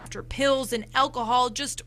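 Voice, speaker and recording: High-pitched woman news reporter. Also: fairly even intonation, moderate pace, fairly bright tone, a little hiss or hum. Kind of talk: scripted news narration.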